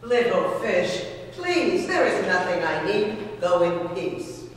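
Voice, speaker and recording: A timid old fisherman, as a storyteller plays him, soft and hesitant and quick to apologize. The voice sits slightly above the teller's own, heard from a distance in a roomy hall. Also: medium pitch, big pitch swings, slight background noise. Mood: gentle. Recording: echoey hall from far off.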